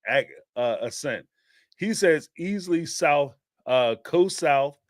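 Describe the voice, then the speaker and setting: A man from the Northeast with a Philadelphia accent hosts his call-in stream in a loose, rambling way. His pitch swings widely as he laughs and riffs. The sound is dry and close, with no room echo. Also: takes it slowly. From Northeastern United States. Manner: animated and rambling, laughs often, many fillers. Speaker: live-stream show host taking call-ins. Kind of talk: casual live-stream commentary.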